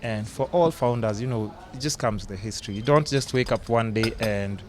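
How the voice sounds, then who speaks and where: A man hosts a casual interview. His voice sits at an ordinary pitch and he talks informally, jumping in with reactions. There is some noise and room ambience behind him. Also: a little fast. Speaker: podcast host. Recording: noticeable background noise and ambience.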